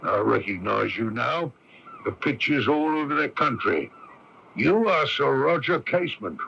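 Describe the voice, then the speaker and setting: An actor in a radio drama playing a stern, dutiful police constable who questions with formal courtesy. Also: male voice, medium pitch, expressive, gravelly, bright, forceful tone, full character voice. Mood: stern, accusing. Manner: deliberate, formally polite interrogation. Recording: lo-fi radio broadcast tape with hiss.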